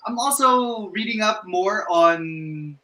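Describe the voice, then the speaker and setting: A man's voice: sits high, expressive, slow pace, pushed and bright. Composed man in an echoey room. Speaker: pageant candidate. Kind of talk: interview answers.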